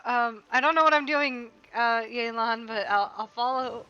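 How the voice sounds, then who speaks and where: A woman's voice: a little high, steady tone, normal speed, pushed and bright, smooth, highs cut off. A woman live-streaming a game, chatting casually and muttering self-deprecating reactions.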